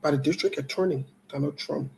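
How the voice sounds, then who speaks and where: A man hosting an online political talk show, giving live commentary and scolding viewers in a blunt, loose conversational way that swings between loud outbursts and low-key remarks. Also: fairly quick, creaky, rough recording.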